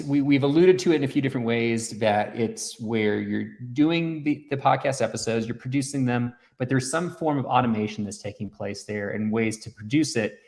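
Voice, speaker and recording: Guy with a medium pitched voice hosting a chatty interview stream. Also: vocal fry, a little mellow. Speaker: live stream host interviewing guests.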